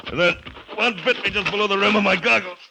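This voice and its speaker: A man's voice: sits high, steady tone, fast, gravelly. An actor playing a desperate man recounting an agonising attack, intense and breathless, in old American radio drama style. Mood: agonised, panicked. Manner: intense, breathless first-person narration. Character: desperate man attacked by swarming ants, recounting his ordeal. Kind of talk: 1930s-1940s American radio drama acting. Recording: noisy old radio recording with clicks.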